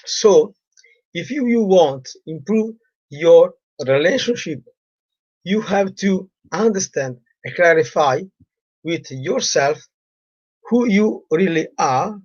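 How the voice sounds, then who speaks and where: Man, sore throat, halting with fillers, slightly high voice, dull stream audio. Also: darkish tone, no echo. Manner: halting, many fillers, non-native grammar. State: has a cold and sore throat. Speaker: relationship coach. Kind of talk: live-streamed solo talk.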